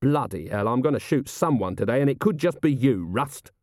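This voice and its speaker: A blunt, sardonic commander of the watch, as a male narrator plays him, dry and defiant, snarling when pressed. His pitch swings freely in a closely recorded storybook performance. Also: lively intonation, moderate pace, a little mellow, dry recording, natural voice. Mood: menacing.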